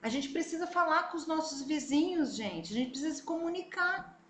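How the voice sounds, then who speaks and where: A woman from Brazil with a Brazilian Portuguese accent, a travel vlogger telling her story in a casual, animated way and talking straight to her viewers. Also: high-pitched, fairly quick, a little hiss or hum. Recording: echoey room, faint ambience.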